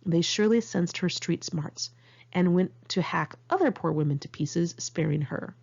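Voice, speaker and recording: A woman reads her personal memoir aloud in a candid, conversational style. Her voice sits a little low, with plenty of gravelly fry at the edges. The homemade recording sounds slightly dull and lo-fi. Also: moderate pace, darkish tone. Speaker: author reading own memoir. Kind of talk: audiobook narration of a personal memoir, read in one take. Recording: muffled lo-fi amateur recording.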